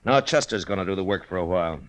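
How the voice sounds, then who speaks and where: An American actor playing a steady, laconic lawman with dry humor in an old-time radio western. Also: low-pitched, fast. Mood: calm, resolute. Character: steady, dryly humorous lawman in his prime, Old West marshal.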